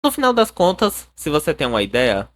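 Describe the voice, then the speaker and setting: A man's voice: a little high, wildly swooping pitch, fairly quick, dry recording. A young man with a Brazilian accent narrating his own old cartoons in an informal, humorous, self-mocking way. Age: young adult. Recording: voice-over with music underneath. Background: background music.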